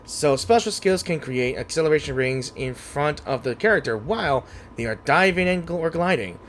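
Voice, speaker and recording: Animated man doing gameplay commentary. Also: a little high, moderate pace, a little hiss or hum. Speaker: gaming video creator. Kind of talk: casual gameplay commentary, reading game text aloud. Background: game music.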